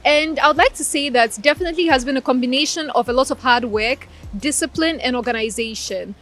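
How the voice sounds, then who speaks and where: A woman's voice: high voice, fairly bright tone. Articulate young woman, native Russian speaker. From Ukraine. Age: 20s. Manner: articulate, measured, laughs between answers. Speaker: guest, law graduate. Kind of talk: interview answers.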